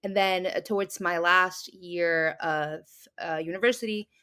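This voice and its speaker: A young woman talks about her business with eager enthusiasm, her voice bright and sharp. Her pitch sits a little low, and her speech is loose and conversational, full of "um" and "like". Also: measured pace, smooth. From Mexico. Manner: enthusiastic, warm gratitude, fillers like "um" and "like". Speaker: business founder guest. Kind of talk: casual interview chat.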